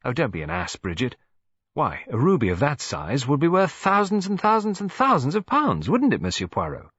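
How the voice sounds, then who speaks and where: A man voicing an eager, excitable young schoolboy, on a dull, dry recording. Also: deep voice, fast, husky, dry recording, exaggerated voice.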